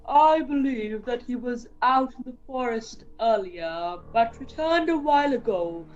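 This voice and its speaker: A woman's voice: on the high side, lively intonation, unhurried, a little mellow. A woman playing a dutiful, eerie male butler in a student radio play, formal and servile. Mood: deferential. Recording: dull lo-fi sound, faint music.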